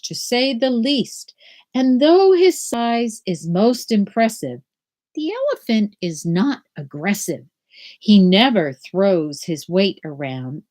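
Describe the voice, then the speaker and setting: An older woman, a school principal, reads aloud gently and slowly to young children from a small room at home on a laptop webcam. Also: mid-range voice. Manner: slow, gentle and animated, like reading to young children. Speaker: school principal reading to students. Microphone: laptop webcam at desk.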